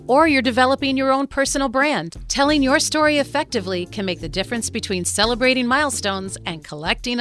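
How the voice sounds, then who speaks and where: A woman's voice: fairly high pitch, a bit bright. A woman reading a scripted promotional introduction as a podcast announcer. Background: guitar music.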